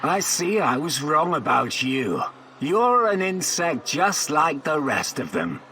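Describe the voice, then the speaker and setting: An actor playing a contemptuous, threatening male villain in a video game, imperious and deliberate. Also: a little high, sing-song, bright, forceful tone, slight background noise, exaggerated voice. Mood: bitter threat. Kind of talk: video game character acting.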